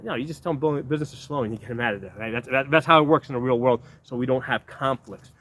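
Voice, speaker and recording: A man's voice: mid-range voice, quick pace, a bit bright. Blunt rambling man in his fifties. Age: 50s. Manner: rambling, repeats words and restarts often; blunt, keeps asking "right?". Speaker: video host. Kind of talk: casual talk to camera.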